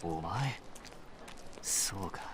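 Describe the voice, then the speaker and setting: A man voicing an arrogant high-school bully in an anime-style visual novel, with a condescending manner. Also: expressive, takes it slowly, noisy background. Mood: contemptuous. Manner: condescending, haughty. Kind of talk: Japanese visual novel voice acting.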